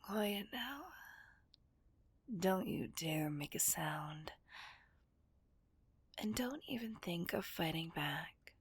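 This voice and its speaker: A woman playing a menacing, dominant villain kidnapper in a whispered ASMR roleplay, commanding and mocking in a breathy hush close to the microphone. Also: fairly low pitch, animated, very slow, long pauses, dry recording, exaggerated voice. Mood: cold, threatening.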